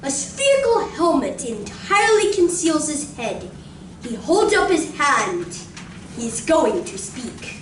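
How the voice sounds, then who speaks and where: A woman performing as a grave, awestruck narrator of a science fiction radio play, theatrical and suspenseful, with dramatic pauses. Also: high-pitched, very animated, recorded from a distance, heavily acted. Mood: solemn wonder. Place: community room of a library, live performance. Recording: roomy live recording from afar, faint noise.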